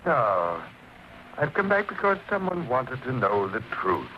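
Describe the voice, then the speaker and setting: Creepy vengeful madman, slow n taunting, swoopy delivery, old muffled radio drama. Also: mid-range voice, big pitch swings, a little hiss or hum. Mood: menacing. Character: menacing, vengeful madman returned from the dead. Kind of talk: 1940s radio acting. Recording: dull 1940s radio recording with music.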